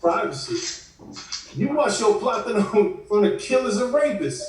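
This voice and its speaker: Suspicious, excitable garage boss played by a man, very high voice, table read, distant echoey mic. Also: a little slow. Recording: echoey room, distant video call mic.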